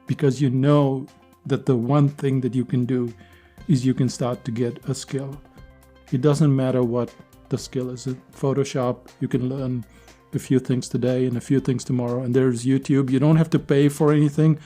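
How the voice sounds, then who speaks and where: A man's voice: mid-range voice, flat delivery, moderate pace, dark tone, faint music behind. A man hosting a solo podcast, talking in an earnest, conversational way and repeating phrases for emphasis. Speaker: podcast host.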